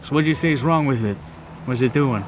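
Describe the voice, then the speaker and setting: A man's voice: mid-range voice, fairly quick, noisy background, lightly acted. A man playing a curt, suspicious, irritated man in a tabletop game. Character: gruff, suspicious, irritated man inspecting a broken-down car.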